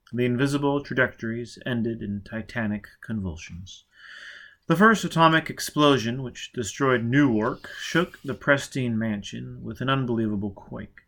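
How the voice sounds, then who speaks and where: A male audiobook reader narrating fiction dramatically. He paces his lines with deliberate, rhythmic pauses that build tension. His pitch sits in the everyday middle for a man. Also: a bit bright.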